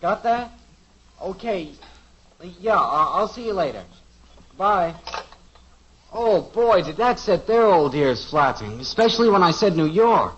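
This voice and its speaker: An eager, admiring teenage son in a 1950s radio sitcom. His voice sits high, and the old recording sounds muffled. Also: very animated, measured pace, pauses often, a bit bright, slightly rough, heavily acted.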